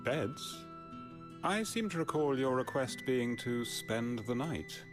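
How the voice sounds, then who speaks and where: A man's voice: mid-range voice, expressive, slow, music in the background. A man playing a stern, shrewd innkeeper in a video game, speaking in a formal, dry, businesslike manner. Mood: dry, demanding. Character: stern, shrewd male innkeeper, bargaining without sentiment.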